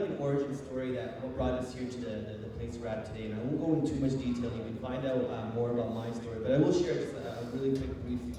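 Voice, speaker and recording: A man's voice: mid-range voice, warm tone. A man giving a campaign speech as a city council candidate, earnest and wordy with some hesitation. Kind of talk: campaign closing speech at a public debate. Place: large hall, public debate. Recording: very echoey hall, distant microphone.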